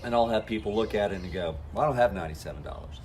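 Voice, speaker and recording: A man's voice: lowish voice, very fast, a little mellow, slight background noise. A man coaching network marketers in a folksy, talk-to-camera style, acting out the conversations he has with people. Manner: folksy, acts out quoted conversations.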